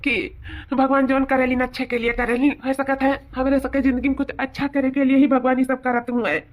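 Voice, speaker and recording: पूर्वी उत्तर प्रदेश के लहजे में एक औरत कार्टून के वफ़ादार, दिलासा देने वाले देहाती नौकर का किरदार निभा रही है।. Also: female voice, high-pitched, flat delivery, fairly quick, natural voice. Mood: consoling, encouraging. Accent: eastern Uttar Pradesh. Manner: soothing, encouraging, deferential.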